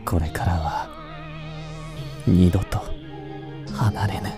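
A man's voice: low voice, steady tone, drawn-out words, music in the background, full character voice. A man playing a lovestruck young samurai with earnest, old-fashioned formal speech in a radio drama. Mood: tender, resolute. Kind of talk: Japanese radio drama acting.

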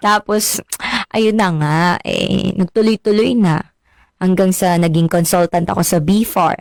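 An actress playing a friendly, modest young marine biologist in a radio drama, with a Filipino accent and a conversational delivery. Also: female voice, lowish voice, takes it slowly, vocal fry, long pauses, dry recording. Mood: casual.

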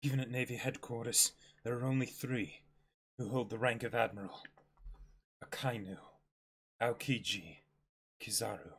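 A man voicing a composed, knowledgeable pirate crew member in a dramatic comic reading, measured and matter-of-fact. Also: medium pitch, steady tone, fairly bright tone, husky, voice pushed down. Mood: serious, informative.

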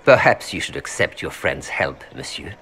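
An actor playing a suave, calculating merchant in a video game, speaking formally with a French accent. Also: male voice, rumbling low, animated, normal speed, bright, forceful tone, slight background noise, full character voice, poor audio. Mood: courteous, satisfied. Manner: courtly, formal, smoothly unapologetic. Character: suave, calculating Orlesian merchant seeking noble influence. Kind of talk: video game dialogue acting.

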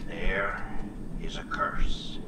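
An actor playing a stern, urgent stranger in a horror game, warning of a curse in a breathy, hushed voice. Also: male voice, very slow, in a whisper. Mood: grave, ominous. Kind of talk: dramatic horror video game acting. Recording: distant, echoey, noisy game audio. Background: loud game ambience.

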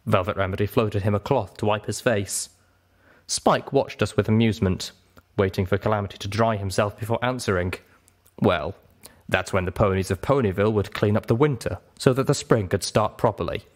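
A man narrating a story as an audiobook reader, in an expressive scripted style. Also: lowish voice, fairly quick.

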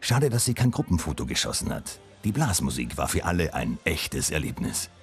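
A man reading a scripted news voice-over in a calm, even-paced delivery. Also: very deep voice, moderate pace, faint music behind. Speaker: reporter narrating.

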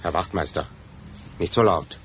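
An actor playing an officious, condescending examining magistrate in a radio play, speaking formally. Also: male voice, low voice, normal speed, slight background noise, natural voice.

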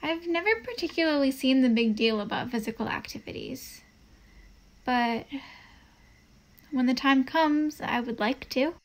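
High-voiced, prim, gentle teenage girl played by a woman. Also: measured pace, long pauses. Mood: prim, earnest. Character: gentle, proper, devout 1950s teenage girl. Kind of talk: comic dub voice acting.

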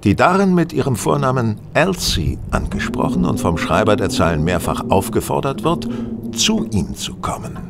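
A male actor playing a shrewd, self-assured detective who explains things in measured, eloquent detail in a German audio drama. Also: lowish voice, sing-song, gravelly, background noise. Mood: explanatory.

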